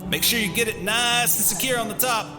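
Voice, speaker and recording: A man's voice: very high voice, brisk, over music. A man playing a playful, easygoing grandfather in audio drama dialogue. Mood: playful, coaxing.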